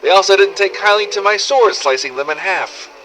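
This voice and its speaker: Man acting a sardonic apocalypse survivor on bright cassette tape. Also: high voice, brisk, poor audio. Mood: wry, irritated. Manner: sweary, sardonic, world-weary storytelling. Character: gruff, foul-mouthed zombie-apocalypse survivor, wry and cynical. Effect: old cassette recorder filter. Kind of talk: scripted in-character audio diary narration. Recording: old cassette tape with faint noise.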